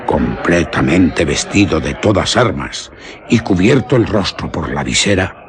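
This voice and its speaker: A man with an accent from Spain narrating a scripted suspense tale for radio theatre in a solemn, measured, literary manner. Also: deep voice.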